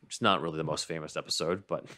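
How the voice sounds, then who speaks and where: A man's voice: extremely low pitch, quick pace. A man in his thirties casually presenting concept art on a podcast, speaking over a headset mic from home and laughing easily. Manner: laid-back, relaxed, laughs often. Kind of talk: casual chat over video call. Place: home room. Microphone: headset mic on a video call.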